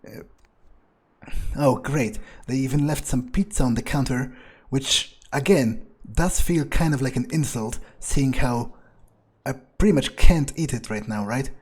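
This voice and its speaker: A man's voice: mid-range voice, measured pace, darkish tone, slightly rough. A man improvising in-character commentary over a video game, thinking aloud in rambling, crude asides. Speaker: gaming video creator playing in character.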